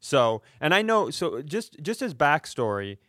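A higher-voiced American man rants on a comedy podcast with very lively swings in pitch. He is indignant and emphatic, swearing freely, and the sound is dry and close. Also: measured pace, dry recording. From rural Upper Midwest United States. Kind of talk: casual ranting podcast chat.

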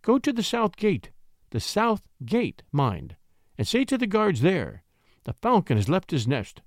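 A man's voice: high-pitched, huge pitch swings, moderate pace, dry recording, clean studio sound, voice pushed up, exaggerated voice. A man reading a storybook, voicing a sly, coaxing countess who pleads breathily. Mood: pleading, urgent. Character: scheming countess, sly, coaxing, feigning innocent distress.

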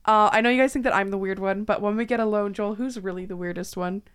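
A woman hosting a podcast, talking casually with an excitable, self-mocking and emphatic manner. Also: medium pitch, fast, crowd noise. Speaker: podcast host and writer. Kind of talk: casual chatty podcast intro.

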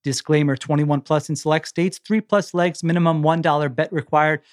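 A man's voice: a little high, fairly even intonation, normal speed. A man hosting a sports podcast, talking casually and reading out copy. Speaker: podcast host. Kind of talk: casual sports talk and host-read ads.

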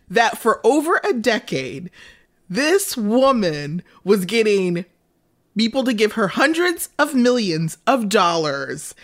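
Animated woman with a lively, swinging pitch. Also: slow pace. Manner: animated, indignant, laughs often. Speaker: podcast co-host. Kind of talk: casual chat.

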